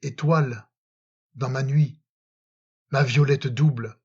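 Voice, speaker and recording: Slow, solemn man reciting poetry, slightly husky. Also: medium pitch, fairly even intonation, darkish tone, highs cut off. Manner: solemn, measured, with frequent pauses. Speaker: poetry reader. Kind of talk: dramatic recitation of love poetry.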